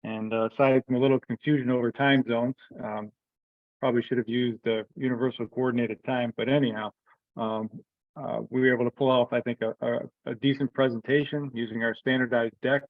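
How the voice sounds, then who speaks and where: A man's voice: mid-range voice, level tone, fairly quick, no echo. A man giving an informal update to colleagues as a committee member on a remote conference call. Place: remote teleconference. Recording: phone-like, narrow radio-style sound.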